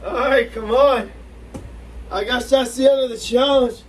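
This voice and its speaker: A man's voice: extremely high pitch, steady tone, unhurried. A young man hosting and refereeing an amateur backyard wrestling challenge, laughing often and teasing his opponents. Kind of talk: playful amateur wrestling banter. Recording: distant mic with faint noise.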